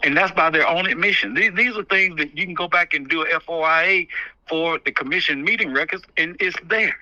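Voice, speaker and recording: A man's voice: fairly high pitch, brisk, pushed and bright, no room sound. A man giving candid, emphatic advice on a phone call, repeating phrases to drive his point home. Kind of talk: candid phone conversation, advising. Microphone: telephone. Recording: muffled, band-limited phone call.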